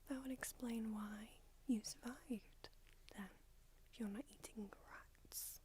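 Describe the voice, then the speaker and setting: A woman whispering in an ASMR roleplay as an ancient, aloof female vampire doctor, unhurried and drily haughty. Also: on the high side, steady tone. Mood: languid haughty. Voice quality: whispered throughout. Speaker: ASMR creator.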